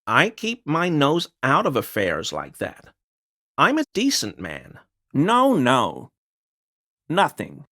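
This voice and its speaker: A grovelling, small-minded townsman from a video game, speaks in a mid-pitched male voice. He is halting and apologetic, forever pleading with authority and eager to appear decent. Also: wildly swooping pitch, sharp tone, exaggerated voice. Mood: meek, evasive. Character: nervous, grovelling small-time bigoted townsman under police questioning. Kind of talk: scripted video game character dialogue.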